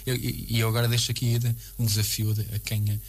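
A man with a European Portuguese accent giving a casual interview as a coach, haltingly and often repeating words and phrases. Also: medium pitch, level tone. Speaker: basketball coach, interview guest. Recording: clear background noise and hum.

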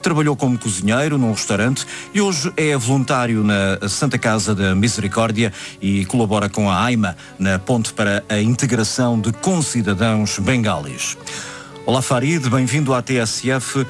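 European Portuguese male radio host, measured scripted delivery, clear music bed beneath. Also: on the low side. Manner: scripted presenter narration. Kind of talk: radio interview.

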